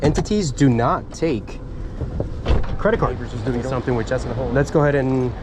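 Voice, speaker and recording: A man from Miami talks into his handheld phone in a rambling, halting way, restarting sentences and filling pauses. His pitch sits in the middle range. Street and lobby noise runs clearly behind him. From Miami, USA. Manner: chatty, halting, many fillers and restarts. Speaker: vlogger filming a first amendment audit. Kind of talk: casual self-filmed vlog, talking to camera and officials. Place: outdoors then in a public building lobby. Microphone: handheld phone camera.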